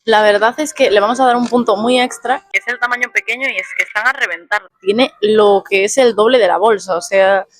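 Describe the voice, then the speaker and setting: A young woman with a Spain Spanish accent, half hoarse, chatting casually while reviewing food for her vlog. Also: medium pitch, dry recording. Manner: chatty, playful, quick asides. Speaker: food vlogger. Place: fast food restaurants and the street outside.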